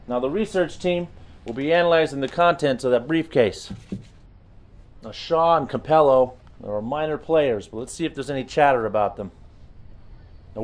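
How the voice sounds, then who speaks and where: An actor playing a brisk, authoritative intelligence chief briefing his team in a video game cutscene, businesslike and commanding. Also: on the high side, a little mellow, lightly acted.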